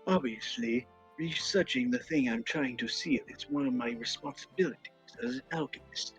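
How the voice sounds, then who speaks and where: A man voicing a dry, self-righteous, teasing alchemist in an amateur game fan dub, husky, on lo-fi audio. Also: mid-range voice, moderate pace, a bit bright, faint music behind, close to an everyday voice. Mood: dry, needling. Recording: dull, lo-fi voice audio.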